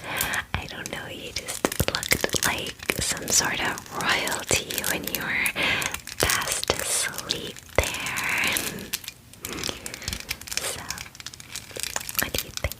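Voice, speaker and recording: A woman's voice: extremely slow, long pauses, poor audio. Woman as a whispering, doting female dragon. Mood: doting, admiring. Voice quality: soft breathy whisper. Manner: gushing, reassuring, casual girlish phrasing. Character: friendly, doting, bubbly female dragon spa caretaker. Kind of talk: ASMR fantasy roleplay, personal attention.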